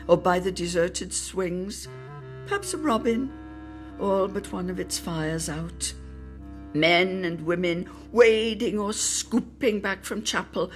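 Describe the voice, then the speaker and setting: A woman reading a literary Christmas reminiscence aloud in a theatrical, expressive style, lingering on words for emphasis. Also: medium pitch. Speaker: reader in a radio players' production.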